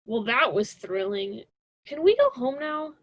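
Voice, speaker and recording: A woman's voice: on the high side, very animated, measured pace, a bit bright, lo-fi sound, exaggerated voice. A young woman playing a stubborn, sarcastic college student in a radio drama, with a dismissive conversational delivery. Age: young adult.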